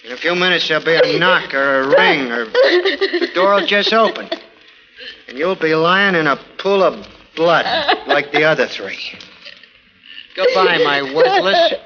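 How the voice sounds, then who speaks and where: An actor playing a bitter, jealous, hard-drinking snoop of a husband, sardonic and menacing, in theatrical 1940s radio melodrama style. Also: male voice, a little high, wildly swooping pitch, measured pace, sharp tone, slight background noise, exaggerated voice. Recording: muffled 1940s radio recording with music.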